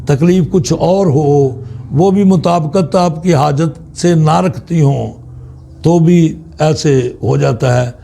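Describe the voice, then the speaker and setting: A man preaches in a high, mellow voice with some creak in it. He explains religious practice without a script, pausing often and restating his phrases with deliberate care. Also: a little hiss or hum. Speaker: religious teacher, Islamic preacher. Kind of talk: extemporaneous religious teaching talk.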